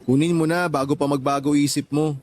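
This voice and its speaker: A man's voice: a little high, fairly even intonation, a little fast, darkish tone, lightly acted. An actor playing an easygoing, teasing male shopping companion in a casual radio drama.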